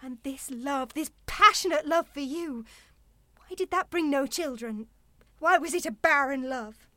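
A woman's voice: sits high, measured pace, bright, forceful tone, no echo. A woman acting in a radio play as a proud, defensive single mother, guarded and intense in protecting her son. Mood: defiant.

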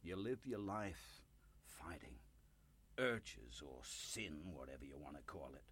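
An actor in a radio play playing a proud, volatile, destitute storekeeper of sixty-eight who swings between indignation and despair. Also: male voice, extremely low pitch, big pitch swings, a little slow, a bit bright, breathy edge, full character voice. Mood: weary, contrite.

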